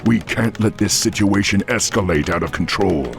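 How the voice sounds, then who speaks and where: A man voicing a stern, commanding orc warrior in a video game, with a gravelly voice. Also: deep voice, moderate pace, over music. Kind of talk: video game character acting.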